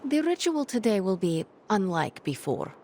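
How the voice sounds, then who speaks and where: A woman's voice: medium pitch, big pitch swings, normal speed, dark tone, a little hiss or hum. An actress playing a stern, impatient young sorceress mentor in a video game, formal and imperious in her speech.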